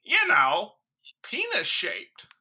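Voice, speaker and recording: A woman playing a brash, eager male monument designer with a blunt, suggestive pitch in a radio comedy sketch. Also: huge pitch swings, extremely slow, sharp tone, breathy edge, exaggerated voice. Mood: persuasive. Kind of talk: old-time radio sketch comedy parody.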